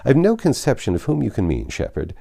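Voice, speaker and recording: A man's voice: mid-range voice, wildly swooping pitch, a little fast, vocal fry, dark tone, voice pushed up. A man voicing a vain, haughty middle-aged baronet, pompous and dismissive, in a classic novel reading.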